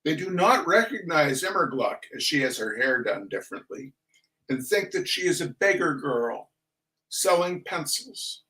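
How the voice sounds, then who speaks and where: A man giving a theatrical reading of a humorous text in a mock-solemn, deliberate manner with dramatic pauses. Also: on the high side, big pitch swings, pushed and bright, rough recording. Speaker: reader of a comic essay.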